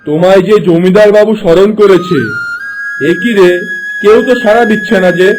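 A man plays a landlord's obedient henchman in an animated folk tale. His voice sits high, with a flattering, servile manner. Soft music runs underneath. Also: normal speed, close to an everyday voice. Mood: dutiful. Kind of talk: Bengali cartoon fairy-tale voice acting. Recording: faint music behind the voice.

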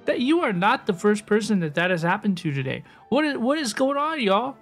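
A woman's voice: medium pitch, big pitch swings, fairly quick, soft background music. A woman streaming a game, chatting casually and slangily with viewers and often repeating her phrases. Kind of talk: casual livestream chat over gameplay.

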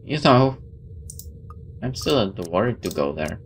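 A low voice narrates a horror visual novel as a gameplay host, slipping between expressive reading and casual asides. There is some noise and game ambience behind it. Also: moderate pace. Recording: clear background noise.

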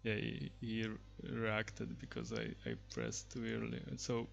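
Male software demonstrator, slow and creaky, hesitant off-the-cuff delivery. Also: lowish voice, very animated, dull audio. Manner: hesitant, halting. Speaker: app developer demonstrating software. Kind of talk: unscripted software screen demo.

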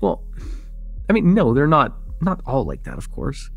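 A young man playing an excitable vampire in an immersive roleplay, casual and halting, insistent and teasing as he repeats himself. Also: mid-range voice, creaky, soft background music, exaggerated voice. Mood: eager, flustered. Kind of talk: immersive ASMR roleplay acting.

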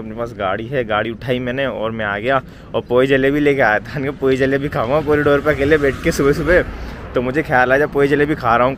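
Young male vlogger, chatty, fairly flat low voice, noisy roadside recording. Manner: chatty, addresses viewers as brother and friends. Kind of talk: casual vlog chat to camera. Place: outdoors by a road at dawn, later indoors in a room.

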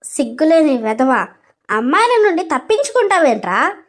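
A hot-tempered young woman acted out by a female narrator in story dialogue. Her voice sits extremely high, and she berates and challenges her boss with scolding insults. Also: sing-song, moderate pace. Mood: taunting. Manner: scolding, confrontational, insult-laden.

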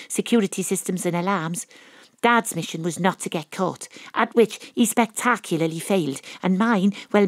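A woman's voice: lowish voice, dry recording, natural voice. A woman playing a wry, poetic private detective with a Welsh accent, narrating an audio drama in a theatrical, literary way. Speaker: narrator and lead character. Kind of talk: first-person audio drama narration and acting.

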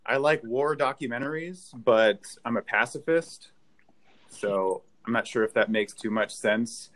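A man in his thirties delivers deadpan stand-up one-liners into a webcam from his living room. His voice sits at an ordinary middle pitch and speaks evenly. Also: measured pace. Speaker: stand-up comedian. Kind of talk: stand-up set. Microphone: webcam, video call framing.

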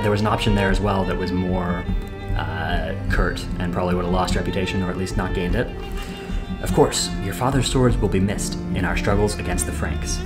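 A young man hosting a game playthrough, reading character dialogue aloud in a plain voice and then chatting casually about his choices. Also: deep voice. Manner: reads character lines plainly, then chats casually with pauses and fillers. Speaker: let's play host. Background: game music.

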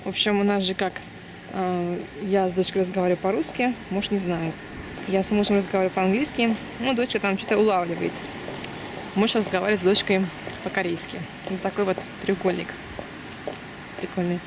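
A woman's voice: mid-range voice, steady tone, a little fast. A woman in her thirties from Uzbekistan, chatting loosely as she walks a city street at night and films on a handheld camera, with many fillers and restarts. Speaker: vlogger. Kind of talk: casual walking vlog. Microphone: handheld camera mic. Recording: outdoor street noise and passing cars. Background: traffic and street ambience.

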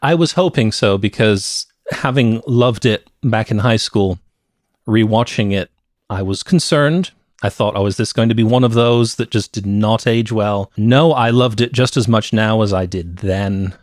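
A middle-aged man co-hosting a podcast, telling stories about movies in a casual, deliberate way. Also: fairly low pitch, no echo, studio quality. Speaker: podcast co-host. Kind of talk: casual movie review chat. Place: cluttered home room with shelves. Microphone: close podcast mic with headset.

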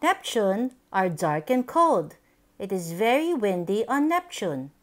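A woman reading a picture book aloud for young children, with simple, deliberate, expressive phrasing. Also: medium pitch. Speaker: children's book reader, channel host.

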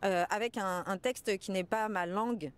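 A woman's voice: medium pitch, normal speed, clean tone. Woman, thirties, mid-range voice, conversational interview delivery. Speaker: actress being interviewed. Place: outdoors in front of a large mansion. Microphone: small mic held out by interviewer.

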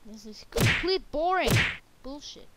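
A young woman from Norway giving casual commentary while playing a game, excitable and yelping when she crashes. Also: high voice, a little slow. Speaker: gamer. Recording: loud background noise.

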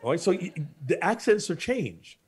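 A man's voice: sits high, big pitch swings, normal speed, a little mellow, breathy edge. A middle-aged man with a Jamaican accent answering a panel question over a video call, emphatic and repeating his key lines. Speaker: guest speaker. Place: studio with plain backdrop. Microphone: video call webcam.

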